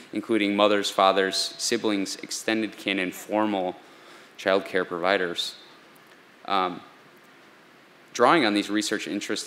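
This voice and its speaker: Male moderator, low voice, reads a speaker intro with many fillers, faint background noise. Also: fairly bright tone.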